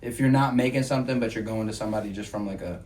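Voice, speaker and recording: A man's voice: on the low side, racing, a little mellow. A man hosting a podcast in casual, slangy, profane conversation, speaking emphatically. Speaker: podcast host. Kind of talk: casual chat about music. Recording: noisy room, distant mic.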